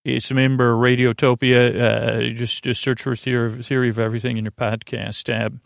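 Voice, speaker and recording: Man, American accent, fry-laden voice, dry recording, rambling sleep podcast host, halting, self-interrupting, circuitous. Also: medium pitch, little pitch movement, no room sound.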